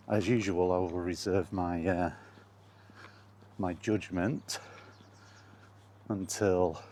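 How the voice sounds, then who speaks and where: A man chatting casually and solo as a podcast host while out walking, in a halting, understated way with pauses and hesitations. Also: low voice. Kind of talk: casual rambling chat recorded while out walking. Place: outdoors, walking to and in a park. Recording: steady background noise, outdoor ambience.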